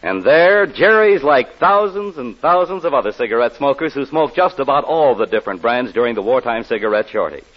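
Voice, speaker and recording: A male commercial announcer in forties radio style, with a middle-pitched, very lively voice. He sells with folksy emphasis over a dull, aged broadcast recording. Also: fairly quick, few pauses. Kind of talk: 1940s radio advertising pitch. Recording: muffled old radio recording.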